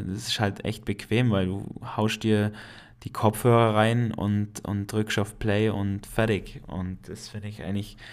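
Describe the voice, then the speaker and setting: A man in his late twenties from the Allgäu with a noticeable Allgäu accent, hosting a casual solo podcast in a relaxed, chatty way with many hesitations. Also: lowish voice. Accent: Allgäu dialect. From Allgäu, Germany. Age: 20s. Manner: relaxed and chatty, frequent hesitations, laughs at himself. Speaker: podcast host.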